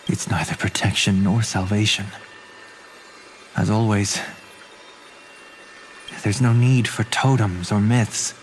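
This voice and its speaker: An actor playing a stern, stoic warrior philosopher in a video game. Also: male voice, fairly low pitch, slow pace, pauses often, slight background noise. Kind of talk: dramatic game voice acting.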